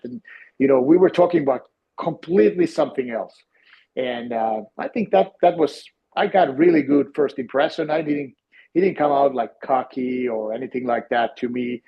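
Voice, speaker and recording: A middle-aged man with a Finnish accent, a podcast guest who tells stories in a halting, restarting way. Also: medium pitch, breathy edge. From Finland. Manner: halting, many restarts and fillers. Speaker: guest, former team physiotherapist. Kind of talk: conversational storytelling. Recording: dull, lo-fi sound.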